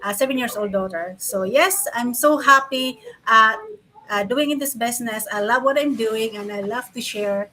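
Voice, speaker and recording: A cheerful woman in her thirties with a Filipino accent. Her voice is bright and a bit high. She speaks with lots of fillers on a video call. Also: moderate pace, rough recording. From Philippines. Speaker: panelist.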